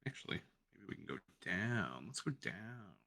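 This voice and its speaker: A man's voice: on the low side, sing-song, normal speed, sharp tone. A man who works in radio, cooking along in his home kitchen and chatting in a rambling, self-deprecating way. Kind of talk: casual chat while cooking. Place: home kitchen with gas stove.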